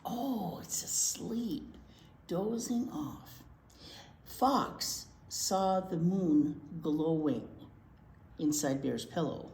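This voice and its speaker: A woman reading a picture book to young children in an expressive, chatty way, often asking them questions. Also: lowish voice, drawn-out words. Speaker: children's library teacher reading aloud. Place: indoor room at a library. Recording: roomy echo, noticeable background noise.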